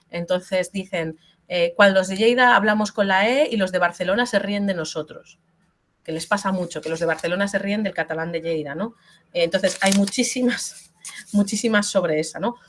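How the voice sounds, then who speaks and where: A middle-aged woman from Spain, an author speaking frankly and bluntly in a webinar interview, with many fillers and restarts, over a video call. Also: medium pitch. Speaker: invited author and linguist.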